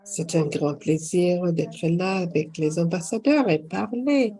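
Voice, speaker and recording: A woman's voice: fairly low pitch, unhurried, mellow. A woman moderating a panel, speaking hesitantly with frequent fillers.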